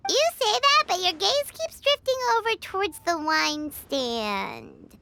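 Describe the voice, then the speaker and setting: A tiny, excitable fairy companion from a video game, extremely high and childlike. The chirpy pitch leaps around a lot, with clear noise behind it. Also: female voice, takes it slowly, heavily acted. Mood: eager, cheeky. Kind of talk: scripted game dialogue.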